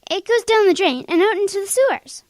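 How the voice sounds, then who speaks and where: Casting: young schoolgirl , woman acting, kids' radio play. High, dry-recorded voice, helpful and plain-spoken. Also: expressive, brisk, dry recording. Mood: helpful, unsure. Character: young schoolgirl, helpful, frank, a little secretive. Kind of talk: children's radio drama acting.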